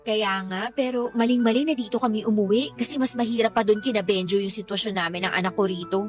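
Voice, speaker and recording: A woman's voice: medium pitch, quick pace, lightly acted. A Filipino woman acting in a radio drama as a fed-up young mother, complaining in an exasperated way. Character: fed-up young mother venting about her partner. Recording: background music under the voice.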